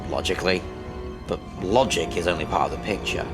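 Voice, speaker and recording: A man's voice: low-pitched, brisk, over music, close to an everyday voice. A man playing a sly, taunting illusion of a dead officer in a science fiction audio drama. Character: mocking illusion posing as a dead lieutenant.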